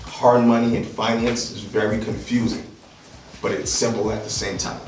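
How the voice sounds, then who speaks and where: Man from Liberia, 30s, workshop presenter, casual seminar talk, noisy roomy sound. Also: on the low side. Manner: conversational, frequent "right?" and "um". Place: room in a house, with a seated audience. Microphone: far camera. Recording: echoey room, picked up from a distance.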